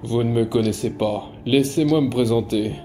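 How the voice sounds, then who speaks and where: A man acting a polite yet menacing magistrate in an audio drama, speaking deliberately with hesitant pauses. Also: medium pitch, mellow, soft background music, lightly acted. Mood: courteous. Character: polite, menacing male magistrate, pious servant of time.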